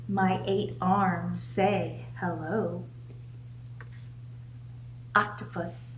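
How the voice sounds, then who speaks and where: Slow, high-voiced woman reading to children. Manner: encouraging, slow, sing-song prompting of young listeners. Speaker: library storytime host reading a picture book aloud. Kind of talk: read-aloud for young children with prompting questions. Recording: echoey room with faint background noise.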